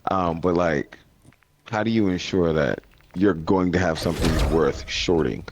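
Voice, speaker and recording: A man's voice: sits low, a little slow, no echo. A man co-hosting a podcast interview, talking in an informal, supportive, casual way. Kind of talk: casual chat. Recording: noticeable background noise.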